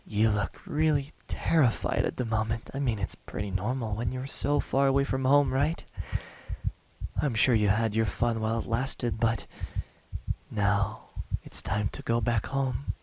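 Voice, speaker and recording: Slow, low, breathy demon hunter played by a man. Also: steady tone, no echo. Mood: smug, menacing. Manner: taunting, slow and deliberate, often near whispering. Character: smug, menacing young male demon hunter. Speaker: amateur voice actor. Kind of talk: ASMR roleplay monologue.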